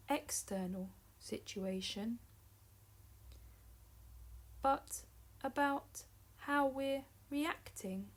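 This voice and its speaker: A woman narrating a guided meditation as a teacher, in measured short phrases separated by long pauses. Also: on the high side.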